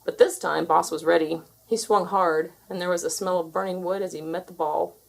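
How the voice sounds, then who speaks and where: A woman reading a children's story aloud as an expressive narrator. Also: lowish voice, brisk, creaky, rough recording. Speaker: storybook reader.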